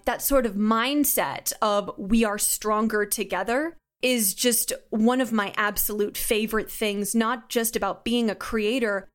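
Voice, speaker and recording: A woman's voice: on the high side, steady tone, measured pace, hardly any pauses. A young adult woman answering interview questions as a podcast guest, conversational, articulate and enthusiastic. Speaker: audio drama creator, podcast guest.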